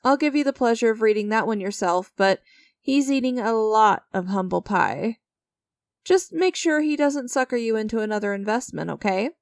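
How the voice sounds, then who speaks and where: A woman's voice: on the high side, expressive, moderate pace, dry recording, clean studio sound. A woman playing an enigmatic, creepy stranger who strikes sinister deals in a dramatic audio roleplay, teasing and theatrical with a smug, coaxing manner. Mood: smug, playful.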